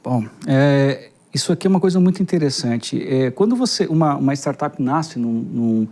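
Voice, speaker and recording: A man's voice: mid-range voice, lively intonation, moderate pace, dark tone. A man with a São Paulo Brazilian accent, a guest answering interview questions in a thoughtful way, often repeating words as he searches for phrasing. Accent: São Paulo Portuguese. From Guarulhos, Brazil. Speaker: guest, innovation executive.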